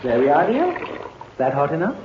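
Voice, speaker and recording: Smooth-talking deceitful husband, animated delivery. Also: male voice, on the high side, moderate pace. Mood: tender, reassuring. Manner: courtly, theatrical endearments, literary quoting. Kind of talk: 1950s radio drama acting. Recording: muffled, noisy 1950s radio recording.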